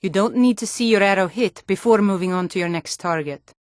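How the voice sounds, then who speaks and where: A woman's voice: medium pitch, brisk, no echo, close to an everyday voice. Actress as a guarded woman archer, dry close sound. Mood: calm, instructive. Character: guarded, self-reliant young woman archer living in the wilds. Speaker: archery instructor. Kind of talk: video game character dialogue.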